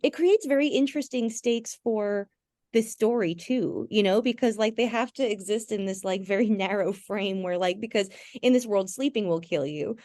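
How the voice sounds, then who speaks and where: A woman's voice: mid-range voice, moderate pace, warm tone, no echo, clean studio sound. A woman hosting a podcast in casual chat, informally searching for her words. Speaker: podcast host.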